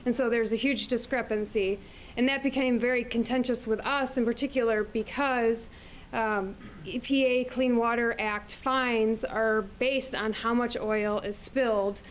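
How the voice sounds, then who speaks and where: A woman giving a brisk, earnest public presentation in a loud voice. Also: mid-range voice, a little hiss or hum, rough recording. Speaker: guest speaker on pipelines.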